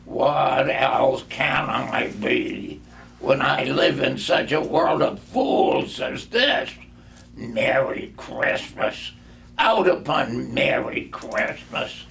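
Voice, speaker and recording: A man's voice: medium pitch, very slow, vocal fry, fairly bright tone, heavily acted. A man voicing a cold, grasping, bitter old miser in a storybook reading, curt and scornful. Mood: indignant grumbling.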